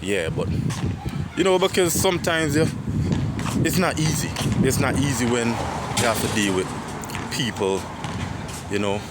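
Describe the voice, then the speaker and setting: A man in his twenties with an Antiguan accent and a deep voice, rambling and reflective in a casual personal monologue, often repeating himself and swearing. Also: lively intonation, unhurried, long pauses. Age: 20s. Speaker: vlogger. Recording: loud outdoor street noise.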